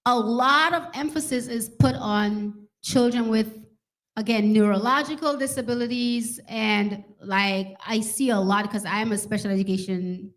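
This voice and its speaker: A woman speaking informally to an audience as a children's author, chatty and expressive, often checking in with her listeners. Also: fairly high pitch, normal speed, low-quality recording. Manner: chatty, expressive, addresses listeners with "right?" and "okay?". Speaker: special education teacher. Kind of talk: informal talk and read-aloud to an audience.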